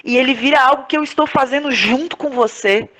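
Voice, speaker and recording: A woman with a Brazilian accent reflects on her work as a podcast guest. Her voice is slightly higher than average. She talks conversationally, searching for words and often repeating them as she thinks. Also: a little fast, fairly bright tone. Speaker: podcast guest, playwright and performer.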